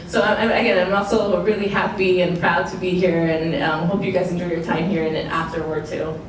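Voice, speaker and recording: A woman's voice: fairly low pitch, little pitch movement, brisk. A young adult woman, a baker on a panel, chatting casually and using lots of "like". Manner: casual, many fillers and "like". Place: museum event space. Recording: very echoey room from far off, noisy.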